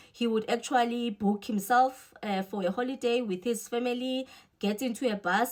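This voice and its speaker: A woman from the Eastern Cape with a South African accent, talking casually and giving her opinions as a vlogger, with wry asides. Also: a little high.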